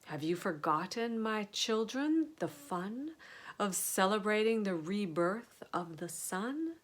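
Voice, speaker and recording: A woman voicing a stately old earth-mother crone in a storybook poem, gently chiding and kindly, with measured words. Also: mid-range voice, expressive.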